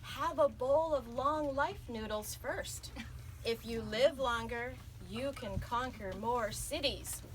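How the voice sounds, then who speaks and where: A woman voicing an earnest, quick-witted young girl in a storybook reading. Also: fairly high pitch. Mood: bold, urgent. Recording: noisy live room.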